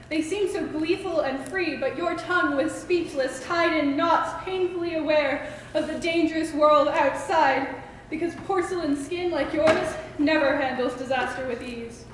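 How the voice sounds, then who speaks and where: A teenage woman reciting slam poetry with dramatic, deliberate phrasing in an echoing hall. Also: high-pitched. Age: teens. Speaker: student poet. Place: school open mic performance in a reverberant room. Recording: echoey hall with faint noise.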